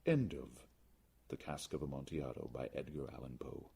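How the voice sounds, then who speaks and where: A man reading gothic fiction aloud as a dramatic audiobook narrator, theatrical, deliberate and intense. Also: rumbling low, gravelly, dark tone, husky. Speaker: audiobook reader.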